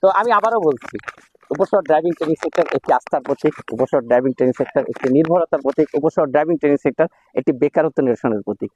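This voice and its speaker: A man's voice: a little high, lively intonation, rapid-fire, darkish tone, slight background noise, poor audio. A Bangladeshi man presenting as a driving instructor in a promotional vlog, with a repetitive, slogan-like sales delivery.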